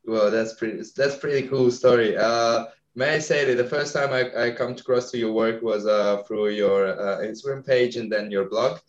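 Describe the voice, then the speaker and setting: A man's voice: medium pitch, moderate pace, hardly any pauses, muffled recording. Italian-accented man, hesitant, in an echoey room. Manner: hesitant, many fillers, searching for words. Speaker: podcast host. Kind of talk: podcast interview.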